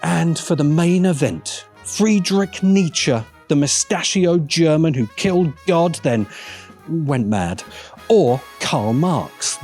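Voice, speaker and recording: A man's voice: on the high side, darkish tone. A man narrating a scripted video essay with wry, dramatic emphasis. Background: background music.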